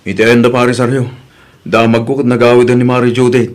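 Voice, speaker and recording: A man acting a wronged father in an Ilocano radio-style drama. His mid-pitched voice has an Ilocano accent and carries weariness, hurt and resolve. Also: level tone, brisk, lightly acted. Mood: serious, weary. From Philippines.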